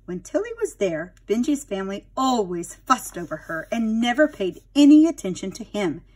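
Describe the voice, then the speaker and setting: A woman's voice: medium pitch, very animated, normal speed, a little mellow, low-quality recording. A woman narrating a children's storybook expressively, dramatic and addressing young listeners like a teacher.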